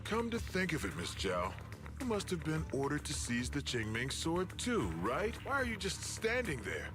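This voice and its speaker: A smug video game henchman, pitched fairly high and swinging widely as he taunts. He is condescending and menacing, with music playing behind him. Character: smug, menacing male enforcer taunting his opponents.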